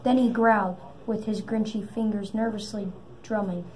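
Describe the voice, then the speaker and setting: A woman reading a children's story aloud in halting phrases, sometimes repeating words. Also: medium pitch, steady tone, a little mellow. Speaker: storybook reader. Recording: noisy amateur recording.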